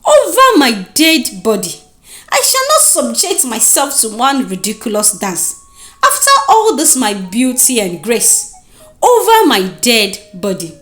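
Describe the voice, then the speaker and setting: A woman's voice: on the high side, huge pitch swings, unhurried, fairly bright tone, faint music behind, full character voice. A woman voicing a proud, vain young village beauty in a dramatic, defiant folktale style. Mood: scornful, defiant. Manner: haughty, dramatic.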